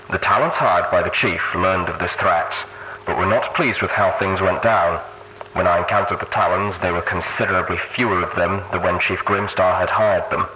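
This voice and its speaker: A man voicing a stoic war pony with a deep, rumbling voice, speaking gravely and with measured care as if over a radio. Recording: very noisy radio-style sound.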